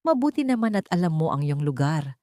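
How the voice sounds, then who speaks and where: A woman's voice: sits low, sing-song, fairly quick, dark tone, dry recording, studio quality. A woman voicing a contemptuous husband who sneers at and belittles his wife in an audio drama. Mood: sneering, threatening.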